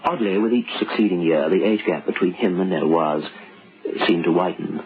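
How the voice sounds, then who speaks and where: An actor as a sardonic, ominous storyteller, narrating an old-time radio drama with measured, dramatic pauses. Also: male voice, low voice, close to an everyday voice. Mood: dry, ominous. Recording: muffled, faintly noisy old radio recording.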